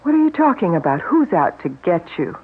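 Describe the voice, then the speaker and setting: A woman's voice: on the low side, very animated, fairly quick, husky. A woman playing a worried, sensible wife who speaks anxiously and pleadingly in a radio drama. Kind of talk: 1970s radio drama acting. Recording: tinny old radio broadcast.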